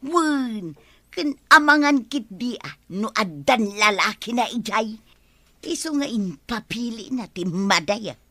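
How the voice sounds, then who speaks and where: A woman's voice: on the high side, lively intonation, unhurried, a bit bright, heavily acted. An actress playing a meddling, suspicious older village woman with an Ilocano accent, gossiping insinuatingly in a comedy radio drama. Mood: gossipy, suspicious. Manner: insinuating, nosy, exclamatory.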